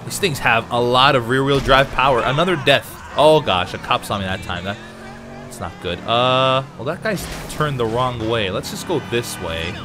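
A man's voice: mid-range voice, normal speed, background noise. A man with a cold and a slightly nasal voice, chatting casually as he plays a video game for viewers. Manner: chatty, excitable, talks to viewers. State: has a cold, a bit nasal. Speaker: let's play host. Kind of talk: casual gameplay commentary.

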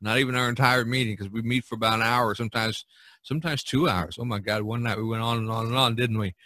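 A man's voice: mid-range voice, steady tone, fast talker, sharp tone, no room sound. A man hosting a casual podcast, giving advice in a rambling, folksy way full of "you know". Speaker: podcast host and painter. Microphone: video call recording.

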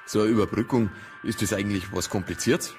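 An actor playing a matter-of-fact, probing small-town police detective with a Bavarian accent in a radio drama. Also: male voice, fairly low pitch, a little fast, background noise, natural voice. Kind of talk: naturalistic radio drama dialogue.

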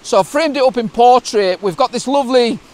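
A middle-aged British man talking casually to camera outdoors, raising his voice over a rushing waterfall. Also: very high-pitched, darkish tone. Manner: raised voice, projecting over water noise. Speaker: landscape photography vlogger. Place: outdoors on a hillside beside a waterfall.

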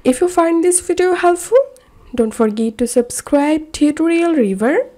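A woman narrating a scripted software tutorial in a measured, step-by-step instructional way. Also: high-pitched, expressive. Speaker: tutorial presenter. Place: voice-over for a screen recording.

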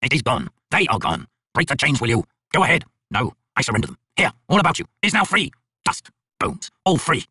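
A man voicing an arrogant, bitter tyrant High King with a raspy, theatrical, scornful delivery in an audiobook. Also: big pitch swings, fairly quick, pushed and bright, no room sound, clean studio sound, exaggerated voice. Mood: bitter, manic.

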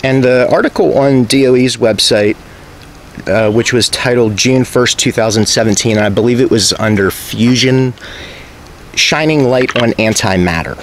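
A man's voice: medium pitch, normal speed. An American man in his thirties, talking casually and a little hesitantly as a caller on the phone, in an agreeable way. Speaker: caller making a records request. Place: outdoors in front of leafy greenery. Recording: faint outdoor background noise.

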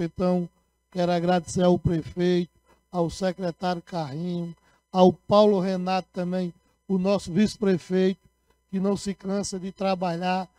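High-voiced councilman. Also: dry recording. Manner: shout-outs to rural communities, repeats phrases, gestures widely. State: sore throat. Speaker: city councilman. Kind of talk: council floor speech. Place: municipal council chamber, indoors. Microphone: handheld microphone.

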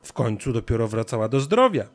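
Male narrator for a children's tale, mid-pitched with animated intonation. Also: a little fast, faint music behind. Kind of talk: scripted children's story narration.

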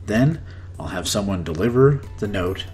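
A man's voice: on the low side, animated, moderate pace, music in the background. A man in his forties teaching English with matter-of-fact definitions and scripted dialogue.

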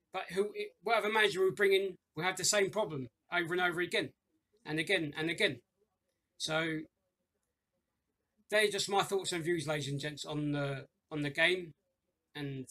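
Bright-voiced man rambling through a match review. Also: a little high. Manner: rambling, many fillers and restarts; addresses viewers as ladies and gents. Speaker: fan channel host. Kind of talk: after-match review monologue. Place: small room at home, indoors.

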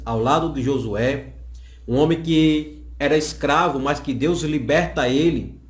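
A Brazilian man in his thirties explaining a Bible lesson in a didactic way, repeating phrases for emphasis. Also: on the high side. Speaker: Bible teacher. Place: small indoor office. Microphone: condenser microphone on the desk. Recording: roomy, echoing small office.

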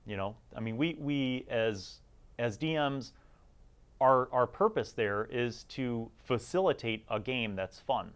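A man's voice: medium pitch, lively intonation, slow pace, clear, resonant tone. A middle-aged man giving casual, conversational review commentary as the host of a gaming series, chatty and informal with emphasis on key words.

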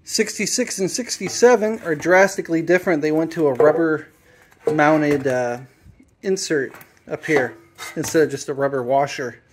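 A man's voice: fairly high pitch, slight background noise. A man explaining his motorcycle restoration step by step in a home garage workshop, matter-of-fact and full of fillers. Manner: plain, matter-of-fact, with frequent fillers and self-corrections.

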